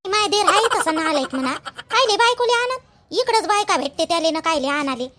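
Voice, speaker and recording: A woman's voice: very high-pitched, big pitch swings, brisk, a bit bright, a little hiss or hum, heavily acted. A woman playing an aggrieved, talkative village daughter-in-law in a cartoon, chatty and emphatic, with a Vidarbha Marathi accent. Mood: aggrieved gossiping. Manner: chatty, complaining, emphatic with repeated phrases. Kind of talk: colloquial cartoon gossip dialogue.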